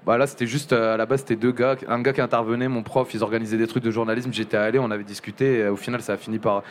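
A young man speaking casually as an interview guest, halting and restarting often, with many fillers. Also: mid-range voice, flat delivery, a little hiss or hum. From Montpellier area. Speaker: journalist guest.

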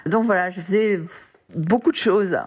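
A woman's voice: mid-range voice, normal speed, darkish tone, slightly rough. Chatty woman recalling her career. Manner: chatty, sprinkles English words and lots of "en fait". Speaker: executive guest recounting her career. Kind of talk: casual interview storytelling.

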